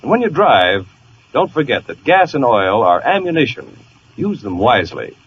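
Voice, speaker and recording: A man's voice: medium pitch, huge pitch swings, normal speed, a little hiss or hum. A man with an American accent reading a scripted 1940s radio commercial as announcer. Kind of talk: 1940s radio advertising read. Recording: muffled 1940s radio recording.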